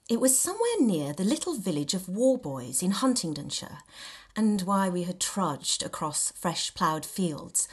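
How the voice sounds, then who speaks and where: Woman storyteller with very lively intonation. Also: fairly low pitch, husky. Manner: theatrical, measured storytelling with dramatic emphasis and hushed dips. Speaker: reader of a novel extract. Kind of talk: expressive scripted audiobook narration.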